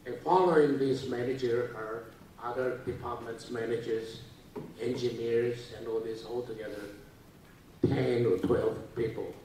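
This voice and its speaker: A man's voice: medium pitch, fairly even intonation, warm tone, far from the mic, slight background noise. Older Taiwanese man speaking in an echoey hall. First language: Japanese. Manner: halting, with many uh fillers and restarts. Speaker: guest speaker. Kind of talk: lecture to students. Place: large lecture hall.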